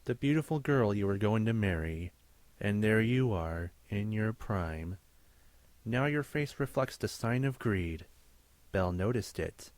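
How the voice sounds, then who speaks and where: Casting: male actor as a stately spirit of the past, lowish voice, very dry sound, solemn audio-play delivery. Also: lively intonation, a little mellow, no room sound. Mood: detached, knowing. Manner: measured, solemn. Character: calm, stately, guiding ghost of the past. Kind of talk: radio drama acting.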